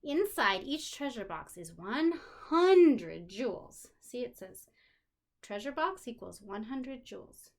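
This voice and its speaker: A woman teacher speaking directly to young children in an animated, encouraging way, with simple phrases and questions. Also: a little high, slow pace. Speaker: special education teacher. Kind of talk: lesson for young children, talking directly to pupils.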